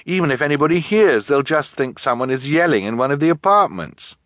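A man's voice: fairly high pitch, expressive, a little fast, fairly bright tone, no room sound, studio quality, pitched above the performer's own voice. A man voicing an earnest, bookish young orphan boy in a storybook, speaking emphatically. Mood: worried, thoughtful.

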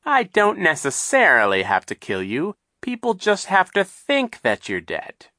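Villain captain, sneering and scheming, slow menacing delivery, read by a male narrator. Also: high-pitched, huge pitch swings, sharp tone, no room sound, clean studio sound, pitched above the performer's own voice, heavily acted. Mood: cold.

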